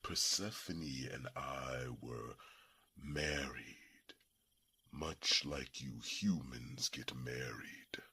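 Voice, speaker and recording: An ancient dragon, with an extremely low, breathy and gravelly voice. He speaks very slowly in tender, halting phrases, weary with old grief. It is a hushed fantasy ASMR roleplay with a sharp, intense edge to every whisper. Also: full character voice. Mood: solemn, tender. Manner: slow, deliberate, halting phrases with long pauses.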